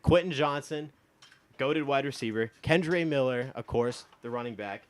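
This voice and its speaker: A man's voice: mid-range voice, normal speed, a little hiss or hum. Emphatic young American man talking sports. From United States, born in Texas and from Iowa. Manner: emphatic, opinionated, swears casually. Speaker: guest on a sports talk show. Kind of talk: casual sports chat.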